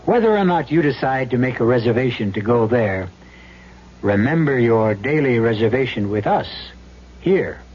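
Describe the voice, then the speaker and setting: A man's voice: medium pitch, lively intonation, normal speed, slight background noise. A man hosting an old-time radio drama, delivering scripted dramatic narration. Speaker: host and narrator. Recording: muffled old radio recording. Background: music.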